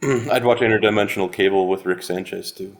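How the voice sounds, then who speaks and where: Deep voiced guy on a podcast, talks fast, casual. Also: fairly even intonation. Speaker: podcast panelist. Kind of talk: casual panel chat.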